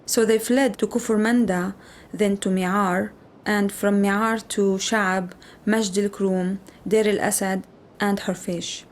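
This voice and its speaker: A woman in her forties from Lebanon, narrating a documentary in a measured, plain-spoken way. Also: mid-range voice, steady tone, a little hiss or hum.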